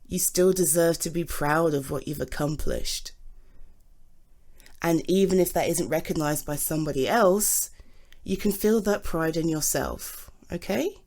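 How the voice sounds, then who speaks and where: A woman's voice: sits low, measured pace, darkish tone. A British woman playing a supportive, affectionate girlfriend in an intimate ASMR roleplay, earnest and encouraging. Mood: gently reassuring. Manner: encouraging, earnest, emphatic on key words. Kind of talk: ASMR roleplay, intimate one-sided conversation.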